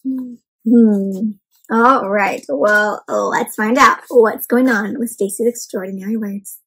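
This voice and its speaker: A young woman hosting an interactive read-aloud of a picture book for children, talking to them warmly as "my friends" and asking them questions. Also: high-pitched, husky, poor audio. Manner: animated, addresses kids as "my friends". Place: home room with bookshelf and plants.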